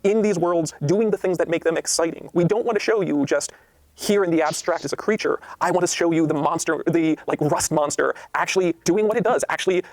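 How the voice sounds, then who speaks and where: Male interviewee, explanatory and emphatic, fairly high creaky voice. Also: fairly quick, no echo. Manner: earnest, emphatic. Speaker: game book designer in promotional interview.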